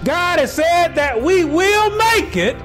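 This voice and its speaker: Man, 40s, pastor, sermon, high voice, very lively pitch, slow delivery, faint music behind. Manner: preaching with rising, shouted peaks and slow drawn-out phrases. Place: small indoor room.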